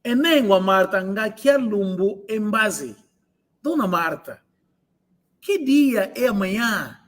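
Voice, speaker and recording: High-voiced man teaching language. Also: lively intonation. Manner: repeats phrases slowly for learners. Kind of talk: online language lesson, explaining and translating phrases.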